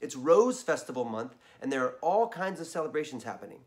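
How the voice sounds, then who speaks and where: A hoarse man reading a children's story aloud, chatting in asides to his listeners. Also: medium pitch, sing-song, brisk.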